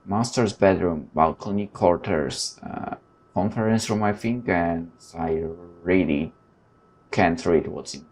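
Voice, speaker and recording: A man with a fairly low voice hosts a gaming video. He reads story text aloud and breaks off into informal, animated commentary of his own. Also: slow pace. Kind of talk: let's play commentary, reading game text aloud.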